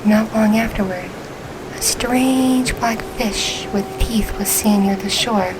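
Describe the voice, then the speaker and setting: A woman voicing a gentle orca girl spirit who tells a bedtime story in a soothing, unhurried ASMR style, drifting into whispers. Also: medium pitch, noisy background, natural voice. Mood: solemn, calm.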